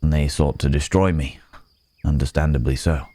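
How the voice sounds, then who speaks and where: A man's voice: very deep voice, steady tone, moderate pace, mellow, dry recording. A man playing a weary, courteous cursed werewolf in an ASMR roleplay, speaking in formal, old-fashioned phrases with pensive hesitation. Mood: resigned, sombre.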